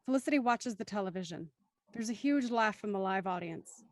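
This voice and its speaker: A woman's voice: medium pitch, lively intonation, a little fast, no echo, clean studio sound. A woman reading scripted, present-tense narration for an audio drama as its narrator.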